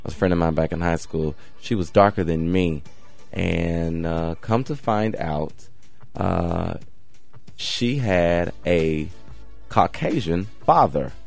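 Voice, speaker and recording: A man hosting a talk show, asking questions and telling a story in a lively way that rises with emphasis. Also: sits low, slow pace, vocal fry, faint music behind. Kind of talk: interview and anecdote.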